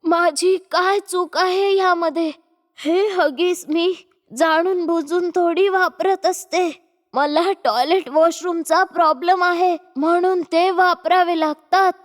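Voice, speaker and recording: A woman voicing a bossy, nagging middle-aged mother-in-law in a cartoon story, with a sharp manner. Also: extremely high pitch, fairly even intonation, takes it slowly, big-room sound. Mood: hurt.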